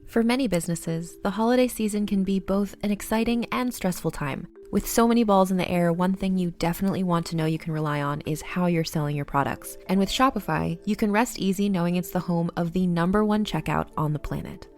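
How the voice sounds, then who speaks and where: A woman reading a scripted advertisement voice-over in a polished, measured sales delivery. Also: lowish voice, a little mellow, faint music behind. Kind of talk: scripted ad narration.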